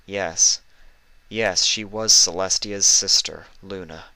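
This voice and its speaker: Deep, crisp male story narrator. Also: fairly even intonation, slow pace, bright, forceful tone, no room sound, poor audio. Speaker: fan fiction reader, narrator. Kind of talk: dramatic scripted story narration.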